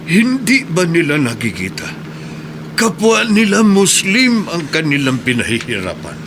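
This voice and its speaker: A seasoned market merchant who mentors a newcomer, played by a radio actor. His slightly high voice moves a lot in pitch as he explains, with clear noise in the background. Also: wildly swooping pitch, a little slow. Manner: explaining, persuasive. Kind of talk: radio drama acting.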